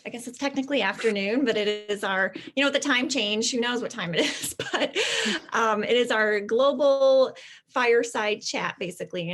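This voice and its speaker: A woman's voice: fairly high pitch, a bit bright. A woman from career services hosting an online event, welcoming people in a friendly, rambling way. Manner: friendly, rambling, with fillers and laughs.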